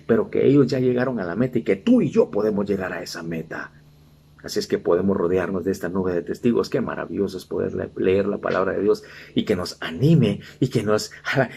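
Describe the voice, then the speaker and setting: A middle-aged man delivers a sermon as a pastor, with a dark, gravelly voice. He keeps returning to emphatic, rising exhortations. A faint hiss sits under the recording. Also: lowish voice.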